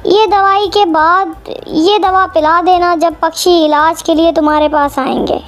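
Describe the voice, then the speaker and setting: A woman's voice: very high-pitched, steady tone, a little slow, soft background music. A woman voicing a sly, wicked male crow in a children's cartoon, conniving and familiar. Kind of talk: Hindi children's cartoon acting.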